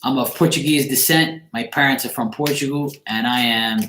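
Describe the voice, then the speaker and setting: A man's voice: medium pitch, steady tone, moderate pace, bright, forceful tone. A man, chatting casually on a livestream in a blunt, joking, informal way. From Bermuda. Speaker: livestream tarot reader. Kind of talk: casual livestream chat with viewers. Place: living room, indoors. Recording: echoey room with faint noise, clicks.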